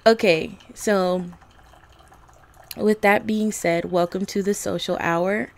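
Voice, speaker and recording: A woman hosting a casual home video podcast, chatting to her viewers in a slightly raspy morning voice and apologizing often. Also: medium pitch, takes it slowly. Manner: chatty, apologetic, easily excited. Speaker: podcast host. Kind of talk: casual chat to camera. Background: coffee maker running.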